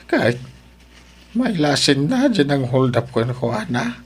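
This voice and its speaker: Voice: medium pitch, very animated, measured pace, breathy edge. A performer playing an anxious, concerned old father in an Ilocano audio drama. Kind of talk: Ilocano radio-style drama acting.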